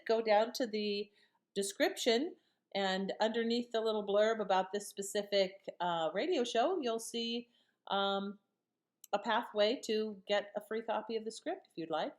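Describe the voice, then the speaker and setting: Woman channel host, casual outro chat, average pitch.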